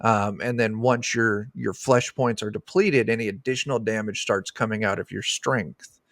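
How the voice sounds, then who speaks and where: A man's voice: lowish voice, fairly even intonation, normal speed, a bit bright, dry recording. A middle-aged man chatting informally and enthusiastically as a guest game designer, explaining things in a rambling way. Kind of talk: casual explanatory chat about a tabletop game. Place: indoor room on a video call.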